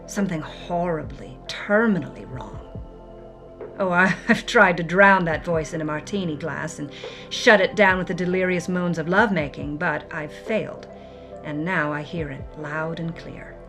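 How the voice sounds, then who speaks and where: A woman's voice: on the low side, normal speed, music in the background, natural voice. A woman playing a jaded, wry 1950s movie actress on the run, in a theatrical, confessional monologue. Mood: brooding. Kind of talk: dramatic first-person monologue.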